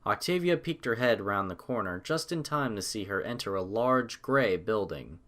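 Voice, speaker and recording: Expressive male story narrator. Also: on the low side, moderate pace.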